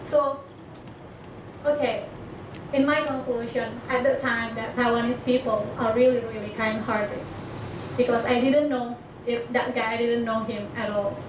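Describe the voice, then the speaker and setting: A young woman from Indonesia with an Indonesian accent, whose first language is Batak, giving a personal talk to an audience in halting English and often searching for words. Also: fairly high pitch, fairly even intonation. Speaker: international student speaker. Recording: echoey hall, far mic, noisy.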